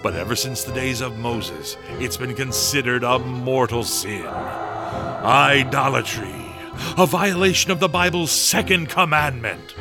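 A man's voice: medium pitch, measured pace, over loud music, close to an everyday voice. An actor playing a grandiose man announcing and narrating in a dramatic 1930s radio style. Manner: dramatic, emphatic. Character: grandiose male old-time radio announcer.

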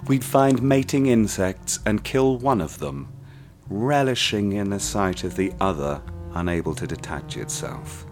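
An actor playing a cold, sadistic man who speaks to a bound captive in a soft, measured, menacing way in a radio play. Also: on the low side, animated, soft background music. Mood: gloating recollection.

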